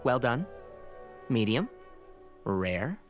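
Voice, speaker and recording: An actor playing a gentle, polite young man in a video game, courteous with a dry wit. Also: mid-range voice, big pitch swings, extremely slow, faint music behind. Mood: practical.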